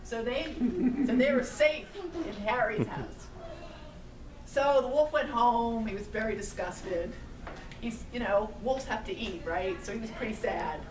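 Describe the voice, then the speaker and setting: A middle-aged woman telling a children's story with puppets, slowly and gently, asking the child along the way. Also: a little high. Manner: slow, gentle, asks the child questions. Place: indoor room with puppet stage. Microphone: far camera. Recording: echoey room, far camera, noisy.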